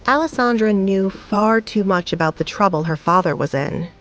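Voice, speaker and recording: A woman's voice: medium pitch, lively intonation, moderate pace, a bit bright, highs cut off. A woman giving scripted, dramatic story narration as the narrator of an audio drama.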